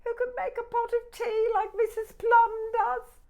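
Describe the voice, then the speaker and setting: A woman's voice: very high-pitched, level tone, unhurried, warm tone, pitched above the performer's own voice. A woman voicing a fussy female teapot in a children's story, speaking in a plaintive sing-song way.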